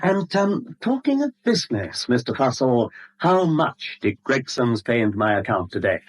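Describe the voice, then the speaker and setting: Smug British male blackmailer, 1970s radio drama: mock-genteel, theatrical, animated pitch, slightly rough voice. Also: normal speed, poor audio. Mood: jovial, gloating. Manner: glib, theatrical, mock-polite.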